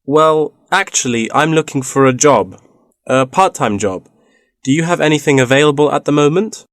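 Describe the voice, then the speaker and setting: A man's voice: a little high, animated, moderate pace, no room sound, studio quality, natural voice. A man playing a polite, inquiring university student looking for part-time work in a scripted language-test dialogue.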